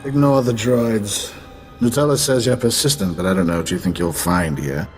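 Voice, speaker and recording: An actor playing a shady retired tycoon in a video game, guarded, brusque and condescending. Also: male voice, lowish voice, fairly even intonation, normal speed, lightly acted. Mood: dismissive, guarded. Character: wary, dismissive, shady retired male tycoon. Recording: faint game music behind the voice.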